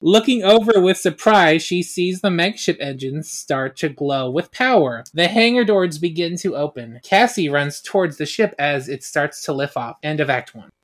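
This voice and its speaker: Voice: mid-range voice, expressive, normal speed, few pauses. A person narrating stage directions in a dramatic script reading.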